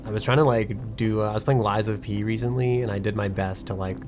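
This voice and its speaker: A man streaming a puzzle game. He talks fast and low-key, with plenty of "like" fillers and a somewhat nasal voice, stumbling over words now and then. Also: steady tone, noisy background. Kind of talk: casual gaming commentary, reading game text aloud. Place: home room with a desk.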